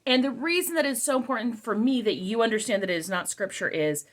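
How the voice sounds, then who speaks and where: A woman's voice: fairly high pitch, quick pace. A middle-aged woman giving a casual, conversational product review, repeating key phrases for emphasis.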